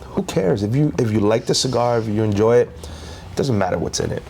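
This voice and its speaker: A man co-hosting a casual podcast, talking in a relaxed, easygoing way. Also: medium pitch, fairly quick, creaky, slight background noise. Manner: relaxed, easygoing, many fillers. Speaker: podcast co-host. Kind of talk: casual chat.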